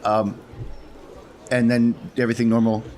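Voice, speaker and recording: A man's voice: medium pitch, monotone, moderate pace, darkish tone, slight background noise. A man playing a tabletop role-playing game, chatting casually with dry, self-deprecating humour.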